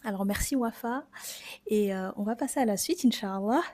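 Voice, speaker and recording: Mellow-voiced young Frenchwoman, podcast host. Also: medium pitch, normal speed. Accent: French from France. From France. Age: 20s. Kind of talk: personal reflective podcast monologue.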